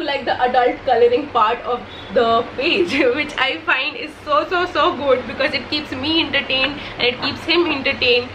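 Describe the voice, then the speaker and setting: Young mom vlogger, Hindi first language, bright high voice, upbeat and rambling, noisy home recording. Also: female voice. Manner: chatty, enthusiastic, many fillers and restarts. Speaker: vlogger, mother. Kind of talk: casual product favourites vlog.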